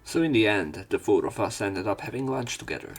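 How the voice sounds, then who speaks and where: A man's voice: on the low side, expressive, fairly quick, faint music behind. A man reading a visual novel aloud as a let's play host, with his own asides.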